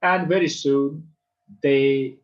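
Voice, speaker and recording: A man's voice: on the high side, fairly even intonation, slow pace, dull audio. A man with a Bangladeshi English accent giving a university literature lecture, emphatic, repeating key phrases and checking that students understand. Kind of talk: classroom lecture.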